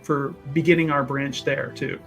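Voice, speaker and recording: A man playing an ambitious, vindictive underground boss in a tabletop game, speaking emphatically and haltingly with many repeated phrases. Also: mid-range voice, level tone, soft background music, pitched below the performer's own voice, lightly acted. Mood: grandly approving. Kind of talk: improvised tabletop roleplay.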